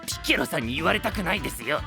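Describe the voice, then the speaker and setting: A man's voice: a little high, sing-song, rapid-fire, vocal fry, over music. A male actor playing a sly, pleasure-seeking young trickster in an anime-style audio drama, teasing in polite, theatrical speech. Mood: indignant, flippant.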